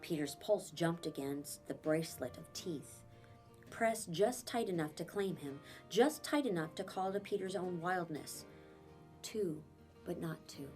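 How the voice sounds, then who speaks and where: A woman, a teacher, reading a children's novel aloud in an expressive, dramatic way with emphatic phrasing and pauses. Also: lowish voice. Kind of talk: expressive children's storybook narration.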